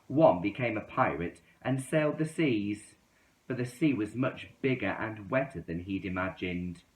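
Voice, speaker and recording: Animated man reading a storybook, roomy sound. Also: fairly low pitch, a little slow. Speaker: children's story reader. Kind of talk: expressive storybook read-aloud. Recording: roomy, echoing indoor sound.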